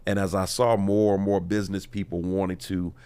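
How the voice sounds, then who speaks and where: A man with a low voice that sounds congested and stuffed up. He talks conversationally as a guest in a studio interview. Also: little pitch movement, fairly quick, warm tone, clear, resonant tone. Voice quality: stuffed-up, nasal. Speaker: interview guest, community organizer. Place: radio studio.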